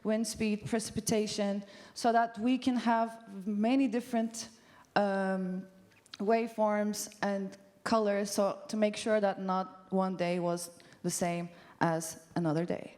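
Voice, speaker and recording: A woman's voice: mid-range voice, fairly even intonation, measured pace, darkish tone. Turkish woman presenting on a festival stage. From Turkey. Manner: casual, with frequent um and uh fillers. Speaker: studio co-founder giving a festival talk. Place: large festival venue stage.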